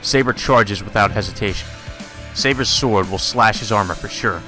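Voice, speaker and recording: Low-voiced man reading a story aloud over music. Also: moderate pace, pushed and bright. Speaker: let's play narrator reading a visual novel aloud. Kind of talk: dramatic read-aloud narration.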